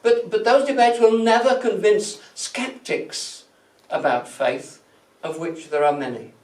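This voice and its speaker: Echoey, higher-pitched male preacher. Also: unhurried. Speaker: preacher, church minister. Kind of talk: reflective sermon from prepared notes. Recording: echoey room, distant microphone.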